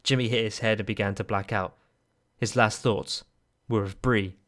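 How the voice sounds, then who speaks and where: A man's voice: fairly low pitch, steady tone, normal speed, a bit bright. A man narrating a scripted third-person story for an audio drama.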